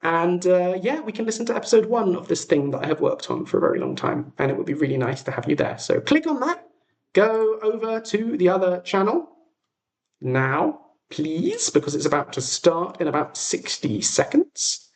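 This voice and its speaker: Male streamer, fairly high-pitched and expressive, informal and rambling with self-deprecating asides, recorded in an echoey makeshift setup. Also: moderate pace. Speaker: livestream host and audio drama creator. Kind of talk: casual livestream chat. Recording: echoey untreated room.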